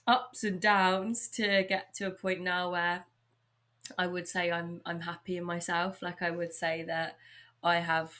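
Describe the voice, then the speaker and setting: A young woman with an English accent hosting her own podcast, chatting warmly and informally, a little husky from a sore throat. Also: fairly low pitch. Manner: upbeat, chatty, lots of likes and you knows. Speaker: podcast host. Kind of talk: casual solo podcast chat.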